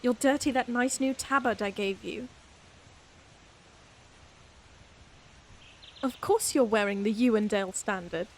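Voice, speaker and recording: A woman's voice: on the high side, normal speed, slight background noise, close to an everyday voice. A woman playing a proud, teasing young lady knight in a fantasy audio roleplay, with formal, old-fashioned, dryly haughty phrasing. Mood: flustered, teasing. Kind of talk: fantasy audio roleplay acting addressed to the listener.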